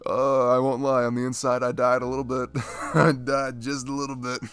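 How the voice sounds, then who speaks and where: A man's voice: mid-range voice, fairly bright tone, clean tone, lightly acted. A man playing a dorky, shy, lonely young best friend in an intimate ASMR roleplay, rambling hesitantly and putting himself down. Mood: self-mocking. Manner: hesitant, self-deprecating, halting with fillers and sighs.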